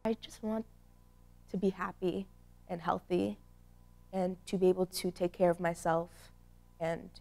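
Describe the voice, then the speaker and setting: A young woman answers softly and hesitantly in a studio. Her voice is lowish and gravelly, with a mellow tone. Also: fairly even intonation, a little slow, long pauses. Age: young adult. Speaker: interviewee. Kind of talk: documentary interview answers. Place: studio with plain backdrop.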